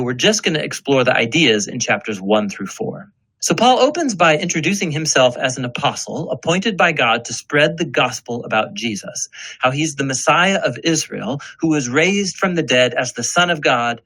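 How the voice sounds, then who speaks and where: Lively, higher-pitched man narrating. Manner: explanatory, storytelling. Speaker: narrator of an explainer video. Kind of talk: scripted educational narration.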